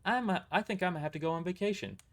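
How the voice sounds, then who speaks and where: A man's voice: sits high, rapid-fire, a bit bright, pitched above the performer's own voice. A man voicing a chatty, doting older female receptionist with a folksy rural twang in an audio drama. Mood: folksy, harried. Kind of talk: amateur audio drama character voice.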